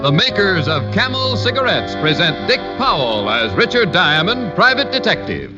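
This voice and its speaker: A man's voice: sits high, very animated, normal speed, highs cut off, loud music behind the voice. A man announcing a mid-century radio show and its sponsor in a dramatic scripted style. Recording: band-limited old radio broadcast.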